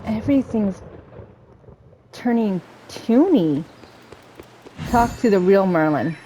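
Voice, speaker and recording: A woman giving casual let's-play commentary, chatting and thinking out loud as she plays and reads bits aloud. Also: medium pitch, expressive, slow, background noise. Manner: chatty, thinking out loud, trails off and repeats herself. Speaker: gamer playing and reading game dialogue aloud.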